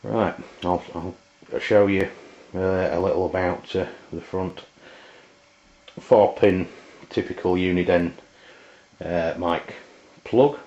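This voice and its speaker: Deep-voiced, halting English man. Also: fairly even intonation, a little mellow. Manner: halting, hesitant, pausing mid-sentence. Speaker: product reviewer. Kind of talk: informal hands-on demonstration, unscripted. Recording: roomy, dull lo-fi camera sound.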